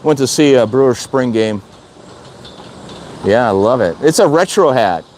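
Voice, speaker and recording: A man's voice: mid-range voice, lively intonation, unhurried, a little mellow, a little hiss or hum. An older man from Wisconsin chatting casually on a live stream, rambling and repeating himself as he answers viewer comments. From Wisconsin, USA. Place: outdoor city balcony.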